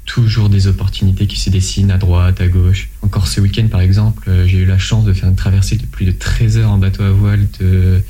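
A man in his twenties from France, answering interview questions in a measured way, often pausing and restarting. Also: deep voice, flat delivery, dark tone. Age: 20s. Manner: measured, with frequent hesitations and restarts. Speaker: interview guest, volunteer.

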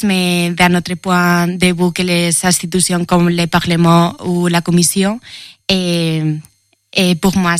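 A young woman with a Spanish accent, an intern answering interview questions in hesitant, halting French. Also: lowish voice, no echo, clean studio sound. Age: young adult.

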